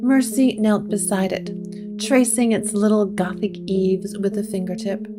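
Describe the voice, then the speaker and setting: A woman narrating a scripted gothic story with deliberate, dramatic pauses. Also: mid-range voice. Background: plucked guitar music.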